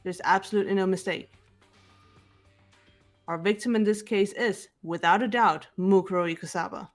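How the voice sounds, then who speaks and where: Cool analytical teen girl sleuth, woman voicing, calm, measured, mid pitch. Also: faint music behind, lightly acted. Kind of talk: amateur voiced game playthrough.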